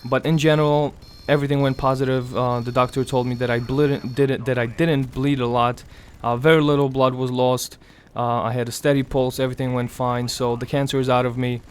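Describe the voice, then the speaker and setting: A young man speaking quietly and weakly in casual gameplay commentary, his voice raspy and strained after throat surgery, pausing often. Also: mid-range voice, flat delivery. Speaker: gaming commentator.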